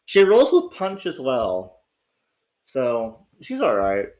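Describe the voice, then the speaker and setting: A man with a raspy, sick voice, chatting casually as host of his livestream, rambling and swearing with lots of restarts. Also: medium pitch, wildly swooping pitch, takes it slowly, darkish tone. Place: at home.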